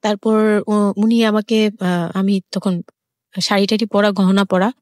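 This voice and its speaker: Female Bangladeshi interview guest, mid-pitched, halting personal storytelling with repeated phrases, close dry recording. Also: little pitch movement, darkish tone, dry recording. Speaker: guest telling her life story.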